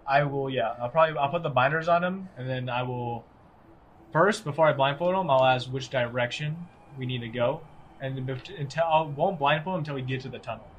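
A man's voice: medium pitch, a little fast, a little hiss or hum. A man chatting casually as a player in a tabletop role-playing game. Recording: dull lo-fi sound, music underneath.

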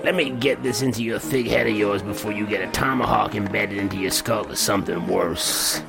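Actor as a gravelly, low Old West sheriff. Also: male voice, steady tone, a little fast. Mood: condescending, mocking. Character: gruff, dismissive Old West sheriff, poker-playing and sarcastic. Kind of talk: western audio drama acting. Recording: music behind the voice.

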